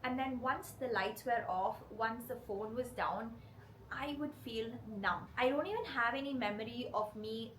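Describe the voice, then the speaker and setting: A woman with a cold that leaves her voice hoarse and rough, hosting her channel and talking earnestly and directly to her viewers. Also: on the high side, moderate pace, a bit bright, a little hiss or hum, rough recording. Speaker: channel host. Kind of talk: confessional vlog talk to camera.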